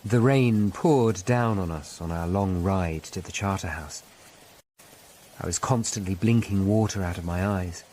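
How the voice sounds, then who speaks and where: An actor playing a troubled Tudor lawyer, narrating a murder hunt gravely in a radio drama. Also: male voice, sits low, unhurried, a little mellow, a little hiss or hum. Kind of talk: scripted first-person narration in a radio drama.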